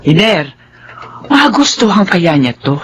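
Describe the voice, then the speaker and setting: Casting: doting, excitable aunt in middle age for Filipino radio serial, highly animated delivery. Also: female voice, takes it slowly, soft background music. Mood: cheerful. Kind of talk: Filipino radio drama acting.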